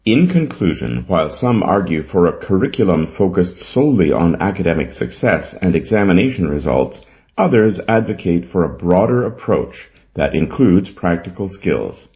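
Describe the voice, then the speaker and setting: A man's voice: low-pitched, very animated, moderate pace. A man reading an exam essay as scripted narration. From Canada. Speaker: essay reader. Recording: slightly dull, lo-fi recording.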